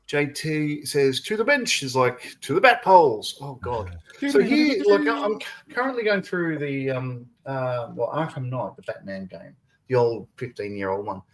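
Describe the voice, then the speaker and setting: A man's voice: mid-range voice, animated, unhurried. A man hosting a casual live stream, chatting freely, laughing often and reading viewer comments aloud. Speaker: stream host.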